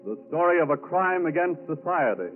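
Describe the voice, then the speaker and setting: A man's voice: fairly high pitch, animated, moderate pace. A man announcing formally in scripted 1940s radio style, on an old tinny broadcast recording. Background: loud music.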